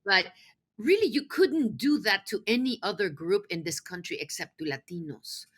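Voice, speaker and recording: A woman from Mexico City whose first language is Spanish talks as an interview guest. Her voice is bright and forceful at a medium pitch, and her delivery is conversational, emphatic and enthusiastic. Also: animated, moderate pace. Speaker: podcast guest, nonprofit executive.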